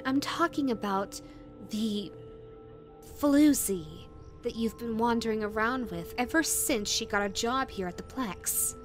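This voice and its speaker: Creepy sweet yandere bunny lady, high and swoopy, clingy and coaxing, audio roleplay. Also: fairly bright tone, heavily acted. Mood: jealous, menacing. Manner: saccharine, coaxing, giggly, condescending. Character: possessive, sweetly menacing yandere rabbit woman. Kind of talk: roleplay audio drama addressed to listener.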